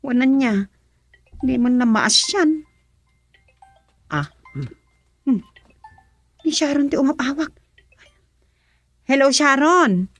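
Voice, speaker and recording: A woman's voice: sits high, expressive, takes it slowly, pauses often, no room sound, exaggerated voice. An actress with an Ilocano accent playing an inquisitive village councilman's wife in a radio comedy-drama.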